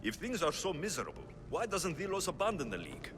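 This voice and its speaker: Alexios, a wry mercenary. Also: male voice, fairly high pitch, huge pitch swings, fast, long reverb tail, over music. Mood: steady. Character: Alexios, male Spartan-born mercenary, dry wit. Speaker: game protagonist. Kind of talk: video game cutscene acting.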